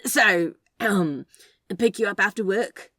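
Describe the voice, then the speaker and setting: A woman's voice: medium pitch, takes it slowly, a bit bright, no echo, studio quality, voice pushed up. A woman voicing a cool, flirty man who talks in a casual, teasing, clipped way in a read-aloud romance story. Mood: awkward.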